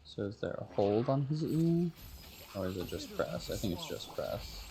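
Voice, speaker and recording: A man's voice: fairly low pitch, big pitch swings, normal speed, mellow, a little hiss or hum. A man streaming a video game, casually muttering and thinking aloud as he plays. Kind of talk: casual gameplay commentary.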